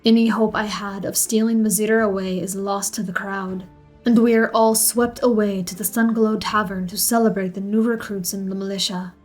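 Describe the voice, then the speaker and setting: A woman plays a male drow elf recounting his own tale in a fantasy audio drama. The voice is gravelly, with a sulky, self-deprecating wryness. Faint music plays behind the narration. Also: medium pitch, steady tone, moderate pace, a little mellow, lightly acted. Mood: wry, measured. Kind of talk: first-person fantasy audio story narration.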